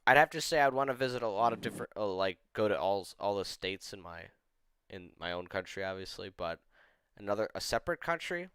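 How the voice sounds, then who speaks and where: A man's voice: fairly low pitch, lively intonation, fairly quick, fairly bright tone, clear, resonant tone, dry recording. A young man chatting casually on a stream, rambling with lots of "like" and giving blunt opinions. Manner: rambling, opinionated, heavy use of "like". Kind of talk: casual chat.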